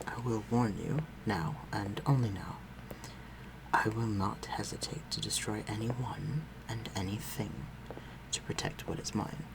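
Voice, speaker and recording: Man playing a deep, refined vampire lord. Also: noisy background. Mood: stern warning. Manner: formal, measured, archaic phrasing, dropping to breathy murmurs. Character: refined, protective male vampire lord, commanding yet gentle. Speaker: voice actor in audio roleplay. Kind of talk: intimate audio roleplay acting.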